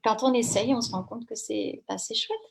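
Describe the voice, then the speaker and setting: A woman's voice: on the high side, big pitch swings, moderate pace, creaky, a little hiss or hum, low-quality recording. A woman from France working as a running coach, answering questions in a live interview, with many fillers and restarts. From France, Brittany. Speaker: running coach and French teacher.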